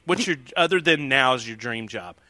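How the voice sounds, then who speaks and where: A man's voice: medium pitch, animated, moderate pace, sharp tone. A man with a St. Louis accent chatting loosely as an interview guest, laughing often and swearing freely. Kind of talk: casual chat.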